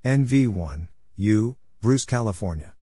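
A man's voice: lowish voice, expressive, unhurried, warm tone, slight background noise. A man announcing Morse code practice, reading letters, numbers and short phrases in clipped, separated words.

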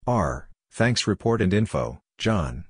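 A man reading short scripted practice phrases as a drill narrator, measured, spelling out letters and digits one by one. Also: sits low, very animated, background noise.

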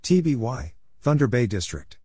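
A man's voice: mid-range voice, sing-song, normal speed, noisy background. A man giving a scripted instructional read-out, spelling letter by letter and speaking in short, isolated phrases. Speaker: Morse code practice instructor. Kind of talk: scripted read-out of spelled codes and place names.

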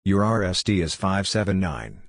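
A man reading short scripted practice phrases one at a time in a measured, instructional manner. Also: low-pitched, big pitch swings, very noisy. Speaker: Morse code practice instructor. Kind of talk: scripted phrase drill, read aloud.

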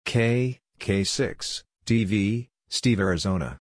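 A man reading out practice exchanges as a narrator, in a measured way, spelling out letters one at a time. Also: on the low side, dry recording, studio quality. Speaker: Morse code practice narrator.

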